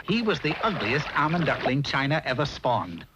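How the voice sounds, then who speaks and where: Animated American cartoon narrator, a man. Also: fairly high pitch, moderate pace. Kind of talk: arch, theatrical 1960s cartoon storybook narration. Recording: dull, faintly noisy old TV soundtrack.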